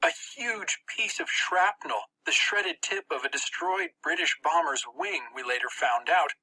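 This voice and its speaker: A narrator reading a first-person story for an audiobook in a tense, expressive, dramatic way. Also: medium pitch, unhurried, poor audio.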